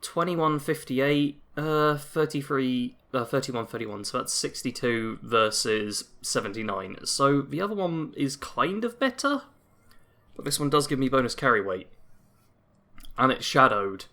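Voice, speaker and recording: A man talks through his gameplay in a chatty, self-narrating way as he plays. His tone is bright and his pitch sits in the middle. He says he is coming down with a cold. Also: animated, measured pace. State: getting a cold. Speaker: gaming YouTuber. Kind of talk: gameplay commentary.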